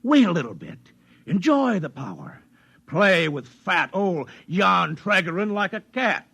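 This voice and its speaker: A fat, aging, power-hungry boss in a 1950s radio play. The voice is husky and fairly high, with big sweeping swings of pitch. He is sardonic and domineering, relishing every cynical scheme. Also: big pitch swings, measured pace, a bit bright, heavily acted. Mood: smug scheming. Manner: sardonic, commanding, theatrical. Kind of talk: 1950s radio drama acting. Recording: 1950s radio broadcast recording.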